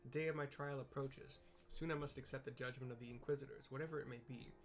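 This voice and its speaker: A man's voice: mid-range voice, steady tone, fast, natural voice. A man voicing a wounded, earnest young nobleman knight from a fantasy game, speaking in a formal, despairing way. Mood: solemn, resolute. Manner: formal, archaic, declamatory.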